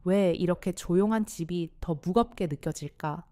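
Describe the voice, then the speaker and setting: A woman's voice: on the low side, moderate pace, warm tone, no echo, studio quality, close to an everyday voice. A woman voicing a lonely older wife, hesitant and introspective, in an audio drama. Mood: weary, uneasy.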